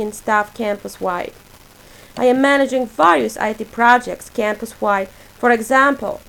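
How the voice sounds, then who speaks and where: A woman in her forties from Poland, a native Polish speaker, reading a prepared self-introduction for her portfolio in a measured, list-like way. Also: fairly high pitch, vocal fry, a bit bright, low-quality recording. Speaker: graduate student presenting a portfolio.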